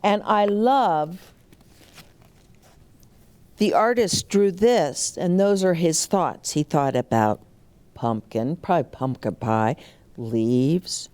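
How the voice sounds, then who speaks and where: A woman storyteller reading a picture book aloud to young children, animated, with chatty explanatory asides. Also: low-pitched, takes it slowly, long pauses.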